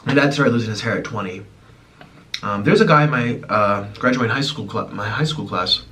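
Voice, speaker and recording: A man's voice: fairly low pitch, brisk, bright, forceful tone. A man in his twenties, born in Ukraine and raised in the United States, chatting and rambling as he eats on camera at home. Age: 20s. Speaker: mukbang host. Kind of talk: casual chat while eating. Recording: slightly distant mic, faint room noise.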